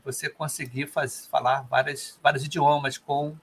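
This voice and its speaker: A man's voice: a little high, little pitch movement, moderate pace, creaky, breathy edge. A man hosting a podcast, chatting casually and encouraging his guest with friendly remarks. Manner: friendly, encouraging, uses fillers like "né". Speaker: podcast host. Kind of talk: casual interview chat.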